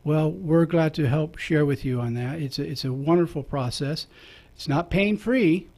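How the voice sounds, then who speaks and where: Jokey priest hosting a talk show. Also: male voice, fairly high pitch, moderate pace, darkish tone. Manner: jokey, chuckles between remarks.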